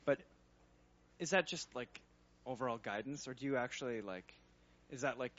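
Rambling, hesitant man, mid-pitched. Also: moderate pace, fairly bright tone, highs cut off. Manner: rambling, hesitant, heavy on fillers. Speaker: user researcher in the audience. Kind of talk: casual workshop Q&A.

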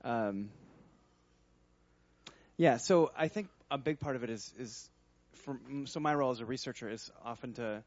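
A man's voice: medium pitch, moderate pace, no room sound. A man in a workshop audience talks through his research work in a middle-range voice. He rambles and hesitates, circling his point with fillers. The sound is dull and lo-fi. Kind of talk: casual workshop Q&A.

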